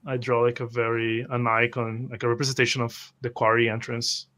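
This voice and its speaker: Bright, forceful man playing a tabletop game. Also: medium pitch, completely flat delivery, fairly quick. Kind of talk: first-person improvised roleplay.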